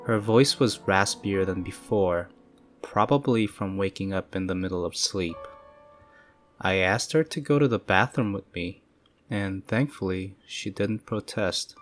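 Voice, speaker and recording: A man giving calm, measured, scripted narration of creepy first-person stories. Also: on the low side. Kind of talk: scripted horror story narration. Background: faint eerie music.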